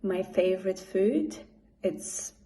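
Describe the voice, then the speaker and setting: A woman from Poland, a native Polish speaker, answers interview questions in English. She talks slowly and pauses often. Her voice is mellow and dark, and she sounds like an English teacher talking about her job. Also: medium pitch, expressive. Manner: slow, with hesitant pauses and fillers.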